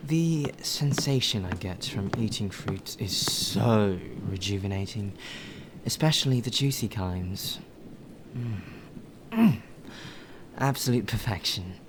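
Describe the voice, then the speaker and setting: A man acting as a polite, deferential male butler in a soft, formal ASMR audio roleplay. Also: lowish voice, animated, background noise. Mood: gently chiding, refined. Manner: formal, deferential, measured, sighing.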